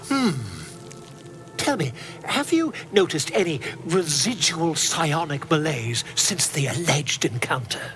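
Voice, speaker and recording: A man's voice: on the high side, animated, slow pace, fairly bright tone, soft background music. A man voicing a chatty, self-important amateur scholar in a video game, theatrical and easily flustered. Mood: curious, incredulous. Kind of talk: video game character dialogue.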